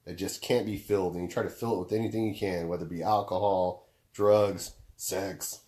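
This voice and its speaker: A young man with a deep baritone, chatting casually as a podcast co-host. His voice is low and mellow, and he is laid-back, swearing freely while working through long explanations. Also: steady tone, fairly quick.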